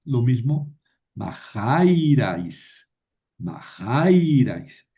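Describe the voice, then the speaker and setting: Man, grammar teacher, steady mid voice, asks and answers his own questions; muffled recording. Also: mid-range voice, very slow. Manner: patient, explains step by step and repeats key rules. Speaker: Greek teacher. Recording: dull lo-fi sound.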